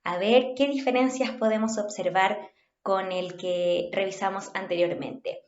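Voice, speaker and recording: A woman with a Chilean accent giving an instructional talk as a workshop facilitator, in a measured, inviting way. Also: mid-range voice. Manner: measured, inviting, checks understanding. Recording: roomy, slightly dull home recording.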